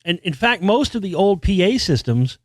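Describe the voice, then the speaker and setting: A man's voice: very animated, normal speed, dry recording, clean studio sound. A man hosting a podcast alone, talking in a loose, rambling way with restarts and casual swearing. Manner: experienced singer with a high singing voice. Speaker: podcast host. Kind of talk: casual solo monologue about music.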